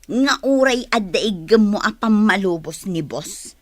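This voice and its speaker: A woman's voice: medium pitch, animated, a little slow, no room sound, natural voice. An actress playing an insistent, scheming woman with a demanding temper in a radio drama. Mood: demanding, annoyed. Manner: insistent, conniving. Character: demanding, scheming woman after company money.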